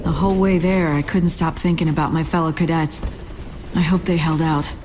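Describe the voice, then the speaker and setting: An actress playing an earnest, determined young military cadet in a video game. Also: female voice, low voice, fairly even intonation, moderate pace, background noise, lightly acted. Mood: somber narration. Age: young adult. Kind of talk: video game cutscene acting and narration.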